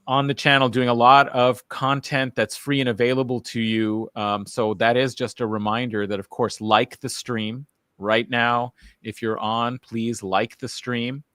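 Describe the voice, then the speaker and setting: A man's voice: on the low side, a bit bright, no echo, studio quality. A man hosting a live-streamed talk show, enthusiastic and a little rambling, with frequent fillers. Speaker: host.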